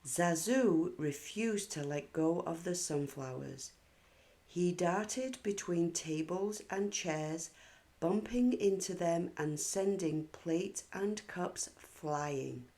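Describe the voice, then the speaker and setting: A woman's voice: fairly low pitch, slow, dark tone. A woman hosting and narrating a children's storybook read-aloud.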